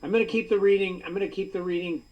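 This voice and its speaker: Higher-voiced man, effusive, distant from the mic. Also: steady tone, very fast. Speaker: participant at online poetry reading. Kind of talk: casual appreciative chat. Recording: distant mic on online call.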